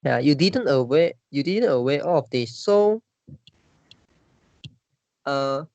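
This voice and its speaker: Halting Malaysian man, dry sound. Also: on the high side, expressive, a little mellow, no echo. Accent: Malaysian English. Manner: halting, many restarts and fillers. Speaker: discussion leader. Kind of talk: casual discussion.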